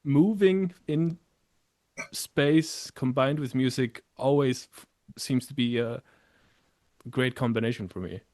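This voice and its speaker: A man from Iceland, hosting a casual interview as relaxed conversation. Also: mid-range voice, slow. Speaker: podcast host.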